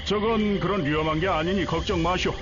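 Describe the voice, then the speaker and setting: A man's voice: high-pitched, steady tone, fairly quick, close to an everyday voice. A man voicing a talkative, rustic middle-aged harbor villager in a Korean game story, with familiar, blunt speech. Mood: chatty, proud. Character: chatty, folksy middle-aged male port villager. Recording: dull sound under music.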